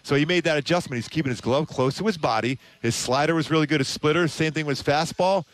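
A man's voice: fairly high pitch, fast talker, no room sound. A man in his fifties giving emphatic, conversational postgame analysis as a sports commentator. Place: open broadcast desk at a ballpark concourse. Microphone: headset.

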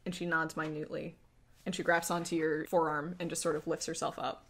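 A woman's voice: sits low, little pitch movement, a little fast, a bit bright. A woman narrating a tabletop game as game master, in a casual, descriptive way.

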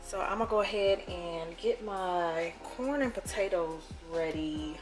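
Chatty woman over loud background music. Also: fairly low pitch, a little slow. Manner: relaxed, chatty instructions with fillers. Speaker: cooking vlogger. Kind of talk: casual cooking walkthrough. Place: home kitchen, indoors.

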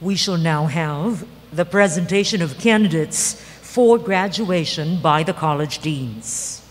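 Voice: medium pitch, lively intonation, measured pace. An emcee formally announcing presenters at a graduation ceremony in a large hall. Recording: reverberant hall, faint noise.

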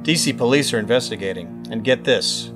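A man plays a determined government agent in an audio drama. His mid-pitched voice is urgent and businesslike, with music clearly playing behind it. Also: animated, fairly quick, lightly acted. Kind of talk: scripted audio drama acting.

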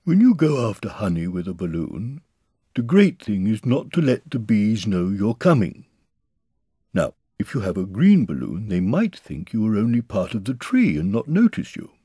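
A man's voice: lowish voice, sing-song, normal speed, breathy edge, dry recording, studio quality, voice pushed up. A man voicing an earnest, scheming, slightly anxious toy bear in a children's storybook, with a ponderous, solemn manner. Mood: pondering, confiding. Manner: ponderous, solemn, calling out loudly. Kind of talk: children's storybook character reading.

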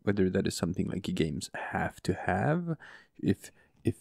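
A male podcast co-host with a booming voice, talking softly and slowly right next to the microphone in casual chat, often chuckling. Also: sing-song, dry recording. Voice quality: booming, gravelly voice. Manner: soft, close-mic murmur, chuckles often. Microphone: close studio mic.

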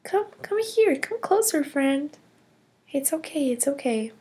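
A woman's voice: high-pitched, big pitch swings, a little slow, a little mellow. Hannah, woman doing a gasping curious girl. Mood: amazed. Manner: gasping, whispering wonder. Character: curious cheerful girl on a ski holiday. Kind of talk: toy play storytelling.

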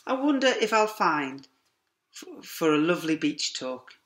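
A middle-aged man teacher reading a rhyming picture book aloud to young children in a lively sing-song way, with quiet asides to them, filmed on a laptop webcam in a classroom. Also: fairly high pitch, measured pace, noisy background. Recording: echoey classroom.

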